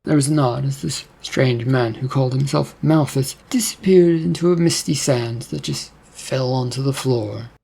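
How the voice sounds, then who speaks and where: Gravelly male story narrator. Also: medium pitch, moderate pace, few pauses, warm tone. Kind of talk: scripted fiction narration.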